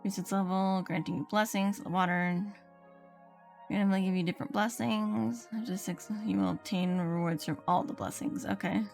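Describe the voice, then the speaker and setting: A woman's voice: medium pitch, steady tone, normal speed, gravelly. A woman game streamer chatting casually and reading aloud as she plays, muttering to herself now and then. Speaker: livestreamer. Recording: game music behind voice. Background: video game music.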